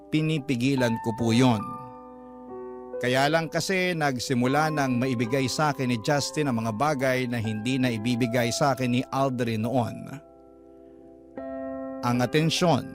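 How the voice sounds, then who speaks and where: A man's mid-range voice reads over a clear music bed. He narrates a scripted personal letter as a radio drama host would, measured and sentimental in his storytelling. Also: mid-range voice.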